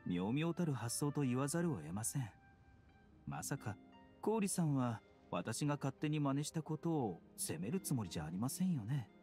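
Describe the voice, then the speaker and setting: A courteous male physician from a video game. He is composed and formal, gently unyielding, with a mid-pitched voice over faint music. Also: normal speed. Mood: calm, courteous. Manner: polite, calm, formal. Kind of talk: Japanese game voice acting.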